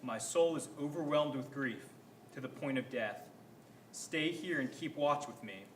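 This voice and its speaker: A man's voice: mid-range voice, a little slow, lightly acted. A man reading the part of Jesus, a grave and sorrowful teacher, in a reader's theater performance of scripture. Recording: echoey room, faint background noise.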